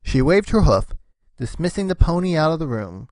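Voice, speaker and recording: A woman giving an expressive, scripted narration of a story. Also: low voice, moderate pace, dry recording, rough recording.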